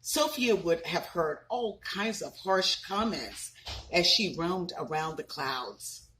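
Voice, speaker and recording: Woman, 30s, slow and lively storytime reader with a lowish voice, asks kids questions. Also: a little hiss or hum, rough recording. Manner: expressive, asks listeners questions. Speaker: parent reading to children. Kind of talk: reading a picture book aloud with asides.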